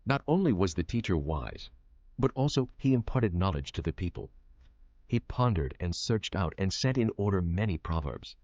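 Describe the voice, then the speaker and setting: A man performs as a grave, solemn narrator of scripture with a low-pitched voice. He reads in measured phrases for an audio Bible. The sound is somewhat dull. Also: big pitch swings, a little mellow. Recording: dull, roomy, muffled audio.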